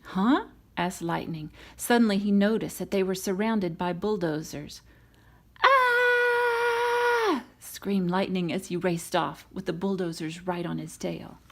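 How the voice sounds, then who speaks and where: A woman reading a children's storybook aloud in an expressive narrating style. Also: on the low side, moderate pace, creaky, few pauses. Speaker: storybook reader.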